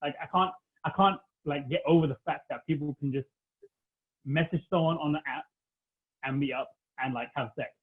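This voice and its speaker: A man's voice: on the high side, normal speed, breathy edge. Man in his thirties on a tinny line. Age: 30s. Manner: rambling, heavy use of "like". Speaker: podcast guest. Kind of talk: casual chat.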